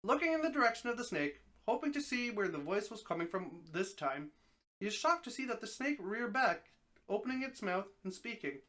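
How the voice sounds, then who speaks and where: A streamer reading a story aloud as a dramatic narration, expressive, now and then stumbling over a word. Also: mid-range voice, fairly quick.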